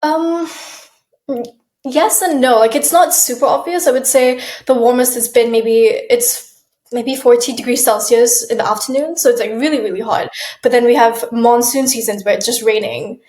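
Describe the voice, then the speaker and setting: A woman's voice: on the high side, normal speed. Chatty young woman from Malaysia in an echoey room. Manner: chatty, with frequent restarts and soft laughs. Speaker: guest, aspiring model. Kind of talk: casual interview chat. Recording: roomy echo.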